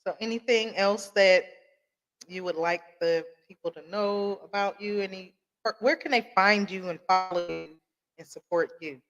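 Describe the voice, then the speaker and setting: A man's voice: high-pitched, normal speed, fairly bright tone. A man hosting a podcast interview, reacting to his guest with frequent short affirmations and follow-up questions. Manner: responsive, lots of short affirmations. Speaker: podcast host.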